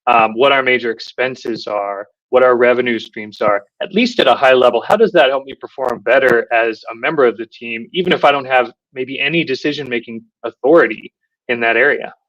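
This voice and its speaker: A man's voice: medium pitch, a little fast, fairly bright tone, lo-fi sound. Man hosting, summarizing with ums. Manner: thoughtful, many filler ums. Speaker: host. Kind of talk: interview moderating, summarizing.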